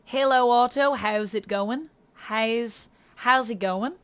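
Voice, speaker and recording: A woman streamer chatting casually in a put-on, wavering Australian accent, halting and repeating words as she tries out the sounds. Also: a little high, fairly bright tone. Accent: put-on Australian, slipping toward New Zealand and Irish. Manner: halting, self-conscious, trying out vowel sounds and repeating words. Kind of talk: casual livestream chat while practicing accents.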